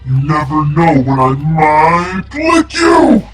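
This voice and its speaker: A woman's voice: low voice, very animated, slow, roomy sound, far from the mic, music in the background, pitched below the performer's own voice, heavily acted. A woman voicing Mac, a scruffy boy monster under the bed who whines and warns. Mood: pushy. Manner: whiny, pushy.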